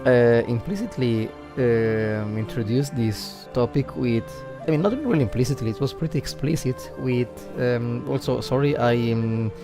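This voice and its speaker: A man's voice: mid-range voice, moderate pace, few pauses, over music. A man with an Italian accent, a native Italian speaker livestreaming casual game commentary, thinking aloud and searching for words. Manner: hesitant, searching for words, reflective.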